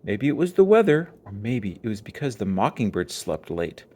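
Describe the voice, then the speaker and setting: Animated man reading a children's story. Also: lowish voice, normal speed. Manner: expressive storytelling. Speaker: storybook reader. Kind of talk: children's read-aloud narration.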